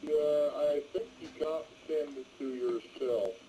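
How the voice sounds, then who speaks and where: A man's voice: on the high side, fairly even intonation, slow, warm tone. An older man chatting casually as an amateur radio operator, with a rambling, folksy way of talking. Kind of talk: casual ham radio chat. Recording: noisy amateur radio transmission.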